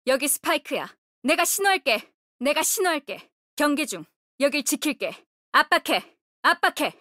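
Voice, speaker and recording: A woman's voice: high voice, a bit bright, no echo, clean studio sound. A woman voicing a resolute, composed young female healer and guardian in a video game, speaking firmly with a solemn, commanding manner. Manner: solemn, commanding, short crisp delivery. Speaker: game character voice actor. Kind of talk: video game character voice lines.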